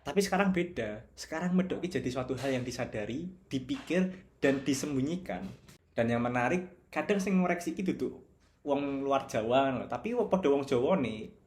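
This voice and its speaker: A man with a Javanese accent talks through his ideas in a reflective, conversational way, at a mid pitch for a man. He draws out certain phrases for emphasis, and faint keyboard typing sits behind his voice. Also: animated, fairly quick, darkish tone, slight background noise. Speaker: video essayist. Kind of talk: reflective video essay commentary.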